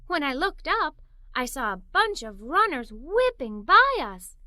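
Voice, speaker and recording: A woman narrating a children's story in an expressive, animated read-aloud style. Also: sits high, unhurried, dry recording. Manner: expressive, performs the characters' dialogue.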